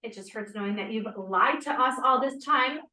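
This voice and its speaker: A woman's voice: fairly high pitch, normal speed, sharp tone, recorded from a distance. An actress playing a suspicious, slangy young woman scientist in a 1950s period drama at a table read. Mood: accusing, hurt. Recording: echoey room.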